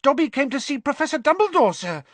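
A tiny male house elf with a squeaky, shrill voice pitched far above normal speech, eager and servile, bubbling over as he talks about himself in the third person. The voice leaps up and down and is read close to the mic in a dry, dead-sounding space. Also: sing-song, fast, no room sound. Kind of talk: audiobook character voice.

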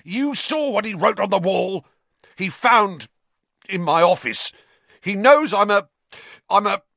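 A man's voice: high-pitched, animated, a little slow, vocal fry, a bit bright, no echo, voice pushed up, exaggerated voice. A man voicing a bitter older school caretaker in an audiobook, choked with tears and snarling out accusations. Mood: distraught, spiteful. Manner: snarling, shrieking, spitting accusations. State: tearful, choked. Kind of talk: audiobook character voice.

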